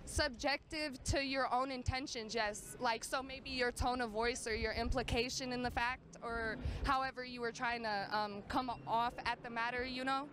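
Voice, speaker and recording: A woman's voice: fairly high pitch, fairly even intonation, moderate pace, hardly any pauses, a bit bright. Hesitant woman on a noisy street. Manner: hesitant, lots of fillers. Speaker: street interview respondent. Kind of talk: casual answers on the street.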